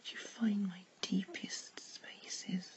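Female, very breathy and slow, mostly whispered, intimate adult audio narration. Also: medium pitch, steady tone. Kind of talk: intimate whispered erotic audio roleplay, second-person narration.

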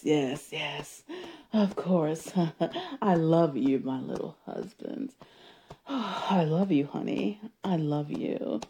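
Woman voicing a controlling wife: low, mellow and sultry, whispery. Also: breathy edge, full character voice. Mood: tender, soothing. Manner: sultry, slipping between whisper and loud. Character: possessive, domineering adult wife. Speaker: audio roleplay performer. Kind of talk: solo audio roleplay.

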